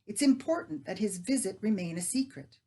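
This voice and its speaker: A woman's voice: medium pitch, animated, a little fast, dark tone, voice pushed up, lightly acted. A woman voicing a stern, serious middle-aged male baker who speaks in a grave, firm way.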